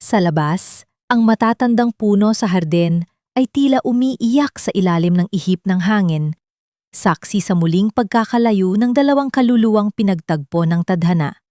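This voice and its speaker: A woman's voice: medium pitch, expressive, normal speed, dark tone, dry recording, clean studio sound. A woman reading a scripted dramatic story in a solemn, suspenseful storytelling manner. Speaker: audio drama narrator.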